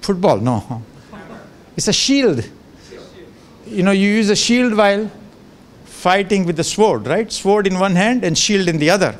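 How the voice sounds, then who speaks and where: An older man whose first language is Gujarati gives a relaxed, joking guest lecture into a handheld microphone in a large lecture room, with many fillers and restarts. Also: high voice, unhurried, pauses often, a little hiss or hum. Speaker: professor giving a guest lecture. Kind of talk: informal lecture with asides.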